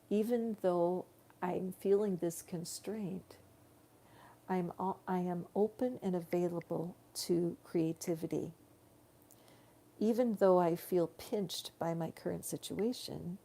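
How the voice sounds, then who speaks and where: A woman giving a live tarot reading in slow, soothing spiritual guidance, her voice growing tired. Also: fairly low pitch, gravelly, warm tone. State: tiring voice. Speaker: tarot reader.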